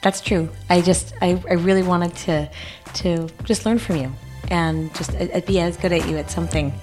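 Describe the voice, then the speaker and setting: A woman's voice: on the low side, fairly even intonation, moderate pace, close to an everyday voice. A woman playing an eager, modest young champion in improvised radio theater, speaking in a hesitant, earnest, gushing way. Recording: music playing over the voice.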